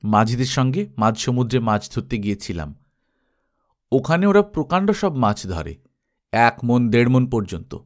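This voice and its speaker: A man's voice: mid-range voice, very animated, normal speed, natural voice. Male schoolmaster for a storybook, acted by a man narrating, reminiscing, mid-range voice. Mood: nostalgic. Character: male schoolmaster recalling his adventurous youth.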